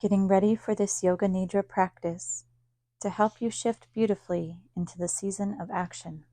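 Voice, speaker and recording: A woman leading a guided yoga nidra meditation as a teacher, speaking in a calm, soothing, unhurried manner with long pauses. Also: mid-range voice, no room sound.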